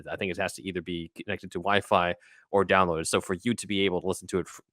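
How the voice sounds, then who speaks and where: A man's voice: sits low, flat delivery, rapid-fire, a bit bright, no echo, studio quality. A man co-hosting a podcast, talking casually in an informal, conversational way. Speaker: podcast co-host. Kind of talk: casual chat.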